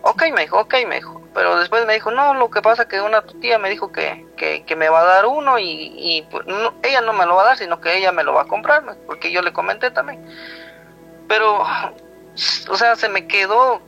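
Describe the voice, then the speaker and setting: A Guatemalan man with a high, bright voice tells a personal story in a rambling, conversational way. He keeps quoting who said what, over clearly audible background music on a slightly dull recording. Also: lively intonation, brisk. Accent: Guatemalan Spanish. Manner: rambling, retells dialogue with frequent "he told me" tags. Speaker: guest telling a personal story.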